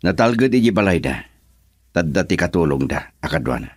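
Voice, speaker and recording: A troubled young family man in an Ilocano radio serial. His voice is very low and he speaks in an earnest, brooding way, with a Filipino accent. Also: big pitch swings, normal speed, slightly rough, no echo, clean studio sound, exaggerated voice. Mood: irritated. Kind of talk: Ilocano radio drama acting.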